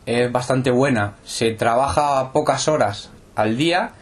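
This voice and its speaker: A man's voice: mid-range voice, measured pace. A young man from Spain with a southern, Andalusian-tinged accent, explaining things steadily in an interview with frequent hesitations. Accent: Andalusian-tinged Spanish. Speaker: interviewed footballer. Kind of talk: casual interview answers.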